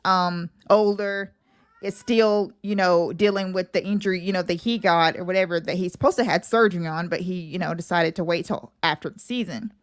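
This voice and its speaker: A woman with a fairly low voice appears as a guest in a casual sports talk. She speaks in a colloquial, conversational way and gives her opinions freely. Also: fairly quick.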